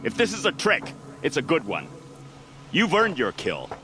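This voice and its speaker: Casting: battle-weary old soldier at bay, defiant, hugely varied intonation, fantasy game with clear score. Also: male voice, fairly high pitch, wildly swooping pitch, normal speed, over music. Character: weary, defiant older male soldier on the run. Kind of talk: video game dialogue.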